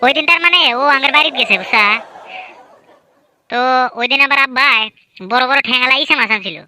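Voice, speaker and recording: A high-pitched woman with a very bright, sharp tone speaks a rural Bangladeshi Bangla dialect. She voices comic cartoon banter in a lively, boastful, teasing way. The sound is dry and close to the mic. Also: quick pace, dry recording. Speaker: cartoon voice artist and narrator. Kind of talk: comedic cartoon voice acting.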